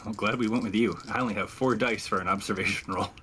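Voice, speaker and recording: A man's voice: on the low side, fairly even intonation, fast, sharp tone, slight background noise. A man chatting casually as a player in a tabletop roleplaying game.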